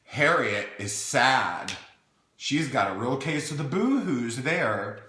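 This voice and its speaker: A man's voice: mid-range voice, lively intonation, takes it slowly, pushed and bright. A man hosting a playful storytime, reading a children's book with wry, chatty asides in a theatrical way, as if talking to small children. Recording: echoey room.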